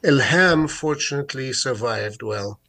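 A mature man with a Palestinian Arabic accent, giving an unscripted account in a radio interview in a halting, deliberate way with many hesitations. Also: mid-range voice, animated, sharp tone. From Jerusalem, Palestine. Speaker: interview guest, advocate.

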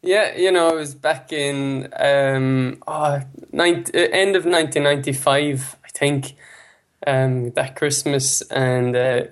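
A man's voice: medium pitch, fairly even intonation, slow pace. An Irish man in his thirties, a native Irish speaker from the west of Ireland, chatting loosely as a guest over a Skype call. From Ireland (County Clare). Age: 30s. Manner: chatty, many fillers, laughs easily. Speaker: guest, language-learning founder. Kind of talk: casual interview talk.

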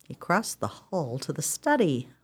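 A woman's voice: low-pitched, big pitch swings, a little slow, breathy edge, exaggerated voice. A woman playing a stern, controlled, reproving sister in a radio chiller, speaking in a firm, clipped, commanding way. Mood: curt. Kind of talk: theatrical radio play acting.

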